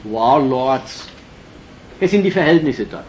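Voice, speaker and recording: A man giving an emphatic political lecture, arguing step by step and often pausing with fillers. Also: mid-range voice, lively intonation. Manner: emphatic, analytical, with many fillers and restarts. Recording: dull lo-fi recording with faint hiss.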